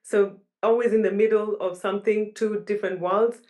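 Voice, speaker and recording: A woman talks about her upbringing in a reflective, unhurried way. She often stops and restarts mid-phrase as she searches for words, which gives her speech a considered, searching quality. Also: medium pitch, steady tone, darkish tone. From Kenya. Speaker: guest. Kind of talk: reflective interview talk.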